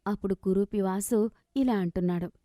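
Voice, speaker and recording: A woman narrating a scripted moral story as an expressive, dramatic storyteller. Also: mid-range voice, moderate pace, no room sound, studio quality.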